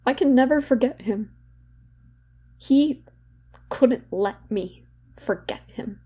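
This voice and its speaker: Actress as high-voiced, guilt-ridden young woman. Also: slow pace, dark tone, slightly rough. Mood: anguished, self-loathing. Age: young adult. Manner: confessional, self-loathing, profane. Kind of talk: dramatic audio play monologue.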